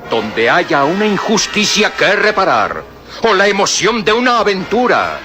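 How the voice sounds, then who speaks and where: A man's voice: high-pitched, wildly swooping pitch, a little slow. A man narrating an old radio serial in a dramatic, suspenseful style. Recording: narration over loud music.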